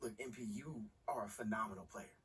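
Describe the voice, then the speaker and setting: A man's voice: lowish voice, fairly quick, fairly bright tone, lightly acted. A male actor playing a pushy, scheming sports agent in a video game cutscene, persuading in emphatic slang. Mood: scheming, insistent. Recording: noisy, distant game capture.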